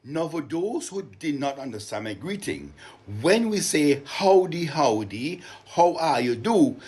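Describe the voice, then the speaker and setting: A man with a Vincentian accent telling stories to children, expressive and deliberate, with dramatic emphasis. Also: on the high side. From Saint Vincent and the Grenadines. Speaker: children's storyteller and author. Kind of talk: live storytelling to children.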